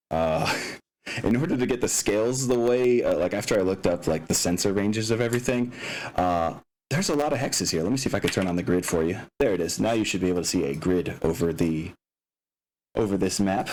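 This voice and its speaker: A man talking casually and haltingly as game master, explaining the setup of a tabletop game session. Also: low-pitched, a little mellow, poor audio. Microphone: open microphone picking up room sounds.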